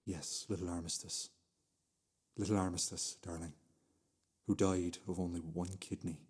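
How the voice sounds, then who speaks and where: An actor playing an obsessive, self-abasing fifty-year-old husband in a radio play, repeating himself insistently and stammering. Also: male voice, deep voice, flat delivery, moderate pace, a little mellow, slightly rough. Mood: hushed, mournful insistence.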